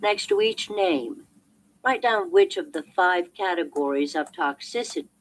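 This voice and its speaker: A woman's voice: fairly low pitch, wildly swooping pitch, measured pace, creaky. A woman reading as an audiobook narrator in a scripted narration style, heard as a replayed recording. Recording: noisy replayed audiobook playback.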